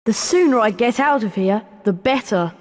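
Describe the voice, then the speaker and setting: A woman voicing an uncertain, earnest young boy wizard in a video game, recorded with loud noise behind. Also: a little high, lively intonation, moderate pace, big-room sound, close to an everyday voice. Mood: anxious.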